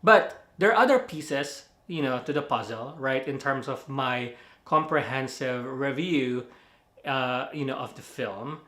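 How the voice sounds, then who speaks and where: A man's voice: mid-range voice, measured pace, a bit bright, echoey room. A man from the Philippines with a Filipino accent, giving a casual spoken movie review with lots of fillers and self-corrections. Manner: rambling, many fillers, laughs at own slips.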